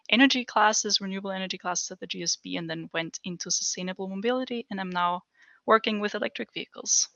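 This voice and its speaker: A woman from Austria with a bright, gravelly voice chats about her career on a video panel. The call audio is dry and slightly dull. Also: mid-range voice, no echo. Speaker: alumni panelist.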